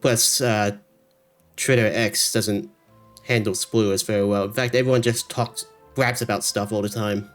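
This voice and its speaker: A man's voice: lowish voice, steady tone, normal speed. A man streaming a game live, giving casual commentary in offhand, dry asides. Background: game music.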